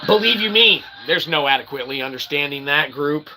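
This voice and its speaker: A man with a raspy, gravelly voice giving an improvised philosophical monologue in wordy, pseudo-formal phrasing, often checking in with short tag words. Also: on the high side, animated, a little fast, bright, forceful tone, a little hiss or hum, rough recording. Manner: rambling, wordy pseudo-formal phrasing with frequent "okay" and "all right" check-ins. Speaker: independent online philosophy presenter.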